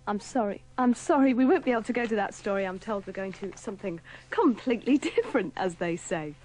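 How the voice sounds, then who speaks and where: A woman's voice: mid-range voice, expressive, a little fast, a little mellow. A woman presenting live on the radio.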